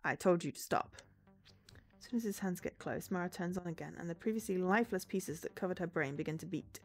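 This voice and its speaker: A woman's voice: fairly low pitch, quick pace, darkish tone. A woman streamer reading a visual novel aloud as dramatic narration. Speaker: game streamer. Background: game music.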